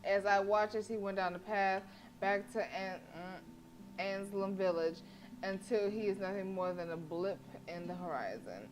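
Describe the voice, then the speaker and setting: A woman's voice: medium pitch, a little slow, background noise. A woman livestreaming a visual novel, reading it aloud with playful, slangy asides. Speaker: video game streamer. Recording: music playing behind the voice. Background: game music.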